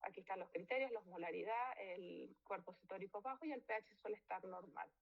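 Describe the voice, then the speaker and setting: A woman's voice: mid-range voice, a little fast, gravelly, muffled recording. A woman doctor from Venezuela giving a medical lecture in a loose, informal way, with fillers and self-corrections. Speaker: physician lecturer.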